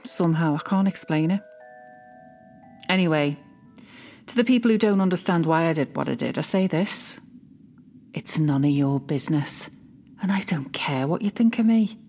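A woman's voice: low voice, expressive, a little mellow, soft background music. A woman playing an obsessively doting, self-justifying middle-aged British mother in a confessional audio drama, speaking intimately and defensively. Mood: earnest, fond, then defensive, scornful. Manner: intimate, defensive, hesitant pauses. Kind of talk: dramatic monologue, confessional fiction podcast.

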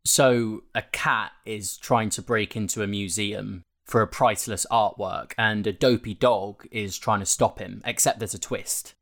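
A man narrates a long written feature in a fairly low voice. He reads from a script as a narrator, moving through prose and quoted remarks. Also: steady tone, measured pace, hardly any pauses, fairly bright tone. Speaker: narrator reading a newspaper feature. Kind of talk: scripted narration of a print interview, with quotes read out.

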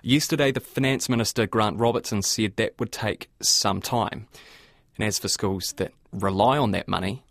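A male reporter with a New Zealand accent delivers conversational news narration and questions. His voice is low with plenty of creak. It is recorded dry, with very little room sound. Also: normal speed, a bit bright, no echo. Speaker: reporter and presenter.

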